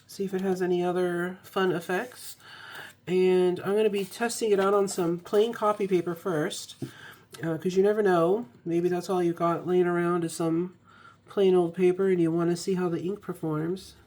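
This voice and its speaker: A woman's voice: on the low side, steady tone, moderate pace, darkish tone. A young woman recovering from a cold, chatting casually with ums and restarts as she reviews an ink. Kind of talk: casual product review vlog.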